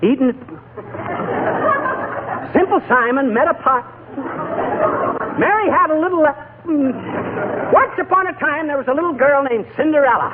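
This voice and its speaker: An actor playing a folksy, middle-aged, easily flustered husband in a 1940s radio comedy. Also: male voice, extremely high pitch, animated, a little slow, long pauses, a crowd in the background. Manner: folksy, fussing, exasperated, mixes slang with pompous words. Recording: noisy, narrow-band 1940s radio recording.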